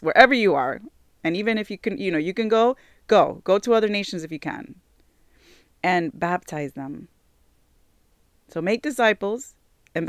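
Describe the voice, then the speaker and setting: A woman in her forties giving an earnest personal faith testimony, slightly hoarse from allergies, repeating phrases for emphasis and filling with "like" and "you know". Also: lowish voice, no echo. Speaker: church member sharing her testimony.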